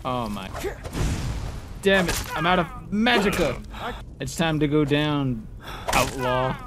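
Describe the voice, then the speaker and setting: A man's voice: a little high, expressive, slow. A man giving casual let's play commentary, playful and taunting his enemies as he exclaims. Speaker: gamer playing and commentating. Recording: clearly noisy background with game ambience.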